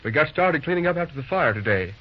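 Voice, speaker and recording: An actor playing a polite, earnest young railroad superintendent in an old-time radio drama, speaking in a measured, deferential way. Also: male voice, fairly high pitch, expressive. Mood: modest, explaining. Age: young adult. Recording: dull old radio recording.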